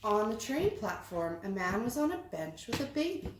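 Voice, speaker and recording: A woman reading a children's storybook aloud with lively, dramatic expression. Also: a little high, normal speed. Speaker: read-aloud reader. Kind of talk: animated children's storybook narration. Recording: roomy echo, faint background noise.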